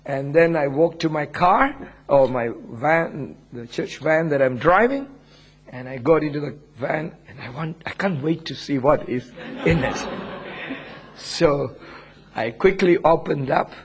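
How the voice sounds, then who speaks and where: Husky preacher with an Indian accent. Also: male voice, a little high, lively intonation, a little slow. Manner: humble, earnest, chuckles often. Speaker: pastor. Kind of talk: sermon, personal testimony.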